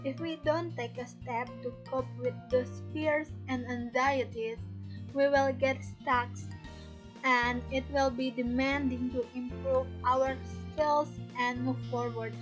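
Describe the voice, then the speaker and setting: A young woman with an Indonesian accent giving a measured, rehearsed student presentation on a lo-fi recording. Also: high voice, steady tone, soft background music. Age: young adult. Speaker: student panelist. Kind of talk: prepared presentation. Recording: dull lo-fi audio.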